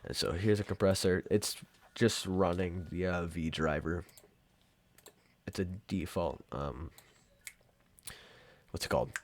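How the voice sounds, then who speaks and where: Deep-voiced man, offhand software tutorial. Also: steady tone, takes it slowly, pauses often. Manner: offhand, rambling, informal with mild swearing. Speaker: tutorial presenter. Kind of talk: casual software tutorial, off-the-cuff.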